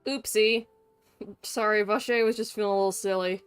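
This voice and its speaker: A woman's voice: medium pitch, steady tone, moderate pace, clean tone. A woman livestreaming a game, giving casual, joking commentary with flippant, dry humour. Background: game music.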